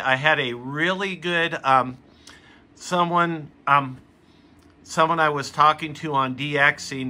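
A man's voice: medium pitch, pushed and bright. An American man giving casual how-to narration as a hobbyist video host, recorded in a room at home.

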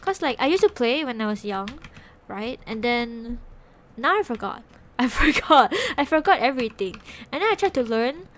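A woman's voice: on the high side, lively intonation, normal speed, slight background noise. A young woman from Singapore with a Singaporean English accent, chatting casually with viewers on a live stream from her bedroom, giggling often and trailing off mid-sentence. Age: young adult. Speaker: Twitch streamer. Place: small bedroom, indoors. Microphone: desk microphone with headset.

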